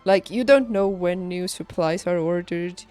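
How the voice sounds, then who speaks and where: A young adult woman chatting casually on a livestream, telling personal stories in an emphatic, animated and informal way. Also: fairly low pitch, moderate pace. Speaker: livestreamer, former nurse. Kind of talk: casual stream chat, personal storytelling. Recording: background music behind close dry voice. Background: music.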